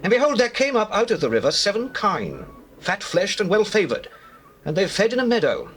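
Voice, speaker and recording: A man's voice: sits high, huge pitch swings, a little fast, a bit bright, soft background music, heavily acted. An actor with a British accent playing a troubled, commanding king of Egypt in a declamatory scripture drama. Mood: troubled, intense. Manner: declamatory, theatrical. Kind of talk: dramatized scripture acting in archaic English. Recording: noisy old cassette tape.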